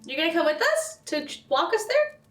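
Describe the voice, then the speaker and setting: Giggly girl playing tabletop rpg, super high voice, swings all over, jokey. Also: big pitch swings, fairly quick, heavy background noise. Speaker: tabletop game player. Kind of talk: playful in-character improv chat.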